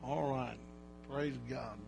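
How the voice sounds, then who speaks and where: A man preaching informally in church, rambling and folksy, breaking into loud exhortations. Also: medium pitch, takes it slowly, creaky, noisy background.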